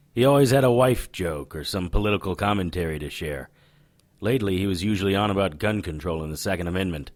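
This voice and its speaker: Guy acting as a cocky noir detective, low voice that swings around a lot, sardonic, radio drama style. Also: darkish tone, dry recording, natural voice. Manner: sardonic, flippant. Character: wisecracking, cocky supernatural detective, world-weary and ageless. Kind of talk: noir audio drama narration and dialogue.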